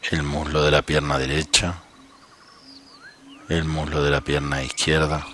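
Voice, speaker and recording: Really deep male voice, slow calm meditation guide, bit of nature noise behind. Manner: calm, unhurried, leaves long pauses. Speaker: meditation coach.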